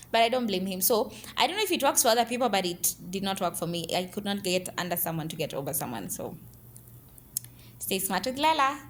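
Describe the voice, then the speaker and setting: A young woman telling a personal story in a lively, dramatic way and dropping in Swahili words. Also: medium pitch, fairly quick. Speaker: storyteller guest clip.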